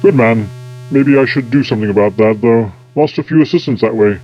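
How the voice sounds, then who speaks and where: A man's voice: mid-range voice, fairly quick, muffled recording, faint music behind, lightly acted. A man playing an eccentric, self-important time-travelling scientist in an audio drama, pompous and absent-minded. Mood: dry, superior.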